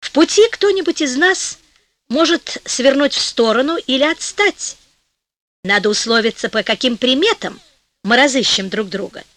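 A woman's voice: high-pitched, lively intonation, moderate pace, fairly bright tone, no echo. A woman voicing a restless, boastful male fire spirit in a storybook, self-assured and practical.

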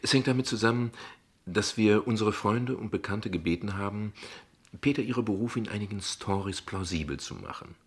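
A man playing an evasive, ironic father in a radio play, speaking wordily and with hesitations, in a husky voice. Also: lowish voice, moderate pace. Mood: sardonic, defensive. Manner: wordy, sardonic, deflecting, with hesitations. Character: evasive, ironic, verbose father defending his unconventional family. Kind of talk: dialogue radio play acting in standard German.

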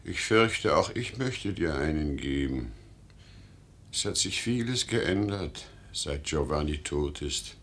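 A man playing a grave, concerned older father in a German radio play, speaking in a measured, solemn way. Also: extremely low pitch, expressive, a bit bright, heavily acted.

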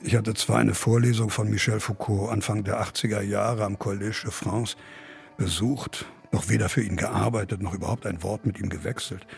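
Second narrator: mature academic, gravelly and literary, confessional radio monologue over faint music. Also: male voice, fairly low pitch. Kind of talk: first-person radio drama monologue.

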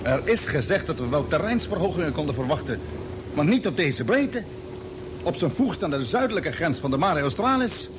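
An actor playing a calm, authoritative space expedition captain in an old radio drama, giving measured orders. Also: male voice. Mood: thoughtful, resolute. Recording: noisy old radio drama, vehicle rumble.

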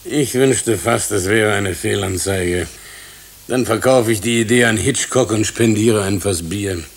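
A man's voice: on the low side, measured pace, gravelly. An actor playing a weary, wry doctor in a radio drama, somewhat hesitant and uneasy in his talk. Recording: roomy echo.

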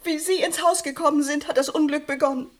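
An actress in a German radio play voicing a frightened, fretful wife and mother who speaks haltingly and repeats herself. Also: high voice, steady tone, lightly acted. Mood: panicky. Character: distraught wife and mother, frightened, fretful, suspicious of her lodger.

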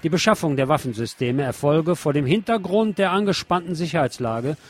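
A man's voice: fairly high pitch, animated, a little fast. A man presents scripted news as a commentator, adding personal asides.

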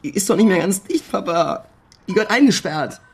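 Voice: medium pitch, lively intonation, brisk, slight background noise, close to an everyday voice. A performer playing a sullen, defensive teenage schoolboy in a German radio crime drama. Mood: indignant. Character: defiant, evasive teenage schoolboy, sullen with his father.

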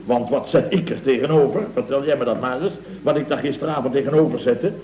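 A man performs a flustered middle-aged building boss in an old comic radio play. He blusters, grumbles and stumbles over repeated words at a middling pitch. The recording is dull and roomy, with faint hiss. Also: quick pace, lightly acted. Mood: grumbling, indignant. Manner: stammering, repeating himself, grumbling. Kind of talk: comic radio drama acting.

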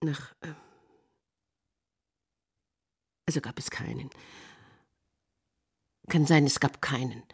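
An actress playing a halting woman who searches her memory and repeats herself in a radio drama monologue, with a breathy voice. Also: very deep voice, a little mellow, heavily acted. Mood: uncertain, searching. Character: halting woman recalling experiments on children.

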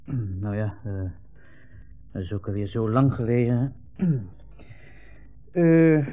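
A man's voice: medium pitch, animated, slow pace, faint music behind. An actor playing a smug, insinuating army captain in a 1960s radio play, hemming as he puts condescending, leading questions. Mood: smug coaxing. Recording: muffled old radio recording, narrow band.

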